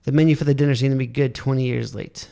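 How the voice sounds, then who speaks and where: A man with a gravelly, fry-heavy voice plays through a spooky video game, reading its text aloud and grumbling at the controls. He curses now and then at what happens on screen. A faint hiss sits under his voice. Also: mid-range voice, fast. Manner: muttering, swears at the game, reads on-screen text in a flat voice. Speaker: let's play gamer. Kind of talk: casual let's play commentary, reading game text aloud.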